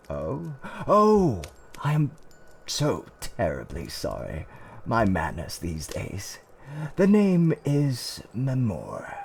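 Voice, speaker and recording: A man playing a gentle, courteous tavern keeper in a fantasy roleplay, with soothing, old-fashioned politeness and theatrical hums and pauses. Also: fairly low pitch, creaky, a little hiss or hum, full character voice. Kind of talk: roleplay ASMR character acting.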